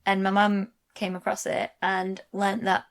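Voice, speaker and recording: Chatty woman with vocal fry. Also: medium pitch, completely flat delivery. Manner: chatty, quick to agree and chime in. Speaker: podcast co-host. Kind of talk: casual chat.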